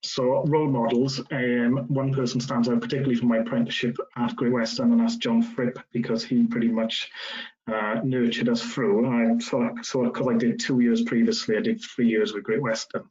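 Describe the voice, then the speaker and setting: A man in his late thirties with a South Welsh accent, talking easily about his working life and filling his pauses with ums. His delivery stays fairly level in tone. The sound is dull and lo-fi, as on a video call. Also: medium pitch. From South Wales. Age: 30s. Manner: relaxed, conversational, frequent ums. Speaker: railway professional giving a careers talk. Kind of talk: casual career talk.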